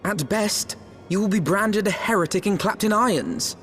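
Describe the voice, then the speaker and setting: High-voiced, doubting young-sounding nobleman. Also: normal speed, a bit bright, faint music behind. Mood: questioning. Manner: courteous, formal, questioning. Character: earnest, doubting nobleman, courteous and questioning. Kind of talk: formal fantasy game dialogue acting.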